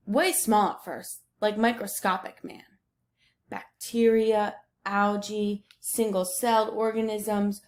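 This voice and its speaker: A woman's voice: medium pitch, natural voice. A woman voicing the ocean as a laid-back, boastful surfer dude in a picture book read aloud. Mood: relaxed storytelling. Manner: slangy, breezy surfer talk.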